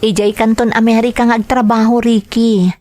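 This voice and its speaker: A woman's voice: on the high side, level tone, normal speed, darkish tone, lightly acted. A woman with an Ilocano accent acting a busy, evasive young working woman in an audio drama. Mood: matter-of-fact.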